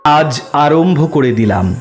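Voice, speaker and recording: A man giving a measured, unhurried first-person narration of a literary story, letting his voice drop at the ends of sentences. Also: a little high, animated, darkish tone, faint music behind.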